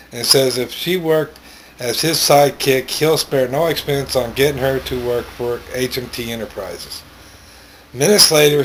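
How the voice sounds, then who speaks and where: A man's voice: mid-range voice, slight background noise, rough recording. Man reading a story aloud. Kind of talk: amateur story narration, read aloud.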